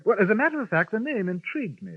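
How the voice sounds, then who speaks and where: An actor with an English accent playing a courteous, dry-witted journalist and narrator in a 1950s radio western. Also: male voice, sits high, very animated, fast, dry recording. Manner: courteous, formal and lightly ironic. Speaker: newspaper correspondent and narrator. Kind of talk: 1950s radio drama acting. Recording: dull old radio broadcast with faint music.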